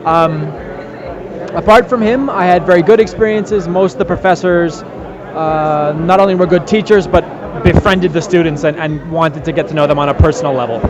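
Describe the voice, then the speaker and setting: A young American man with a high-pitched voice answers interview questions in a measured, articulate way. The sound is somewhat muffled, with a busy crowd clearly heard around him. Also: fairly even intonation. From northeastern United States. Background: crowd and urban noise.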